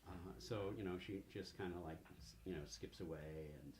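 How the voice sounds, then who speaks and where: A man improvising narration as game master in a halting, conversational way. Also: deep voice, noisy background. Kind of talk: improvised narration of a tabletop role-playing game.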